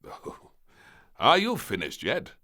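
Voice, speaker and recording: A man's voice: mid-range voice, wildly swooping pitch, takes it slowly, pushed and bright, pitched above the performer's own voice, exaggerated voice. Man acting a fussing, exasperated storybook king. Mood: impatient. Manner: exasperated, questioning. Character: weary, fussing king, father of a small girl. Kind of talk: acted children's storybook reading.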